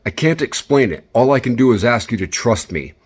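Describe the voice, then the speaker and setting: A man's voice: mid-range voice, lively intonation, a little fast, husky. A man giving instructional commentary, speaking directly and encouragingly as he coaches. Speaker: instructor and video host.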